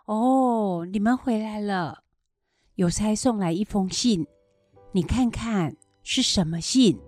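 A woman playing a slow-spoken, caring old grandmother. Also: medium pitch, darkish tone, dry recording, studio quality, soft background music. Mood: homely. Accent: Taiwanese Mandarin. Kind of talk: educational radio drama acting.

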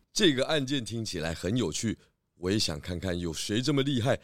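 A man's voice: on the low side, animated, moderate pace, close to an everyday voice. A man playing a friendly, curious pig detective in a children's audio drama. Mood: intrigued, cheerful. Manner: friendly, playful, intrigued.

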